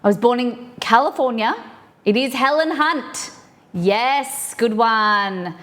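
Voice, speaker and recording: A woman's voice: fairly high pitch, slow pace, fairly bright tone. A woman hosting a live stream, chatting casually and reading trivia clues aloud to her viewers while baking in her kitchen. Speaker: streamer host.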